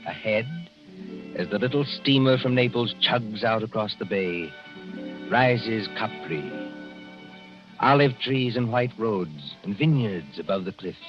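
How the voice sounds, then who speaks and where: A man narrating in dramatic, measured 1940s radio style as host of a drama program. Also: lowish voice, expressive. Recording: muffled 1940s radio recording. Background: music.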